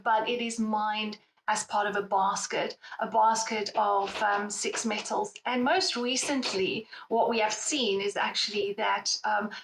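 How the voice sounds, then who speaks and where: A woman's voice: mid-range voice, noisy background. A woman with a South African accent, explaining the metals market as an expert guest with frequent ums and self-corrections. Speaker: precious metals analyst guest. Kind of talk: expert interview. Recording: roomy echo.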